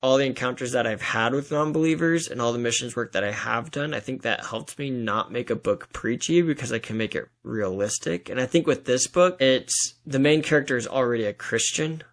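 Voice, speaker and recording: A man's voice: medium pitch, brisk, hardly any pauses. A man speaking as a guest author in a casual interview, with an earnest, enthusiastic and informal manner.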